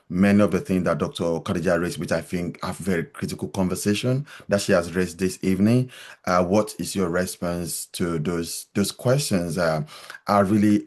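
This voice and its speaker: A man's voice: deep voice, a little mellow. A man moderating a festival panel, speaking in a rambling, hesitant way with many fillers.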